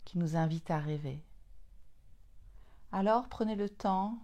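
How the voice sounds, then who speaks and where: A woman leading a guided yoga nidra relaxation in a soothing, unhurried manner, in short phrases separated by long pauses. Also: mid-range voice, mellow, highs cut off.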